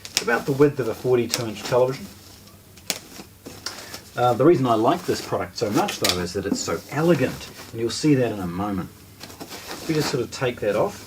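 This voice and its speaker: A man's voice: medium pitch, expressive, fairly quick, long pauses, background noise. A man with a New Zealand accent presenting a casual product unboxing, explaining things informally step by step as he handles them.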